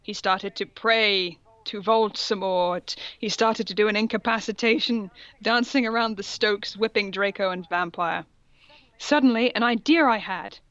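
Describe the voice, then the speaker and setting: A woman's voice: mid-range voice, measured pace, fairly bright tone, dry recording, muffled recording. A woman co-host reading fan fiction aloud in a comic dramatic style, mock-serious and amused, with casual sweary asides.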